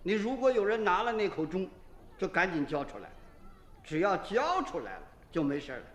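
An actor playing a blustering, exasperated rural village head in a Chinese radio drama, talking in a folksy, roundabout way. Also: male voice, high voice, big pitch swings, moderate pace, fairly bright tone. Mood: stern. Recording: roomy echo, faint music behind.

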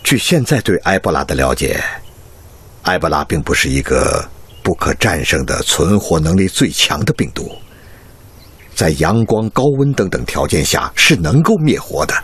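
A very husky older doctor in a radio drama. He is earnest and dedicated, with a low voice that swings widely in pitch. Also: fairly bright tone, exaggerated voice. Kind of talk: Chinese radio drama acting.

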